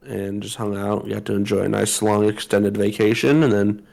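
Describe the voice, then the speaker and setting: A man co-hosting a podcast in casual chat, his voice slightly hoarse from a sore throat. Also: fairly low pitch, flat delivery, moderate pace, darkish tone. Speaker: podcast co-host.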